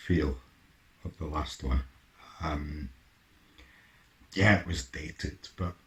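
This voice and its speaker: A middle-aged man giving casual reaction commentary as a show host, halting and musing as he lets thoughts trail off. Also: sits low, poor audio.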